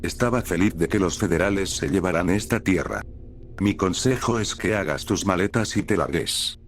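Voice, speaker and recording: A stony middle-aged mountain local in a horror story, voiced by a male narrator. The voice is low, and the delivery is terse, folksy and indifferent. Faint ambient noise runs underneath. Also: normal speed. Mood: stony warning. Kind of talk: acted dialogue in horror storytelling.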